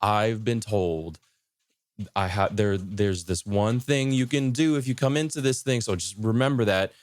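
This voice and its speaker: A man explaining folklore in casual conversation as a podcast guest, haltingly rephrasing and repeating himself as he goes. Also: fairly low pitch, dry recording.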